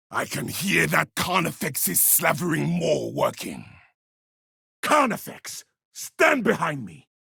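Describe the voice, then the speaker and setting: Gravelly, roaring space marine warrior. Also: male voice, high-pitched, no echo, studio quality, exaggerated voice. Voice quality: gravelly, rough shout. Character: battle-hungry male space marine warrior, brash and zealous. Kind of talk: video game combat barks.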